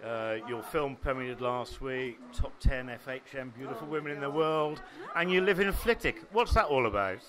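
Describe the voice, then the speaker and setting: A man's voice: mid-range voice, expressive, normal speed, hardly any pauses, crowd noise. A man with an English accent interviewing guests at a launch, asking chatty, friendly questions.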